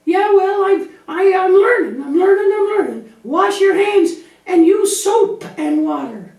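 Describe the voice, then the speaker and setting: A woman's voice: extremely high pitch, animated, unhurried, creaky, darkish tone, exaggerated voice. A woman voicing an anxious, childlike little lamb puppet in a children's church show, naive, earnest and chatty. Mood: relieved, earnest. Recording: echoey room, distant microphone.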